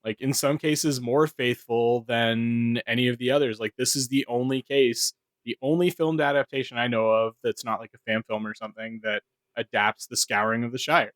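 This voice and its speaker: Wry man, mid-pitched, dry podcast sound. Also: fairly even intonation, moderate pace, a bit bright, dry recording. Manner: wry, sweary, slangy. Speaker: podcast producer and panelist. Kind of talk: casual chat.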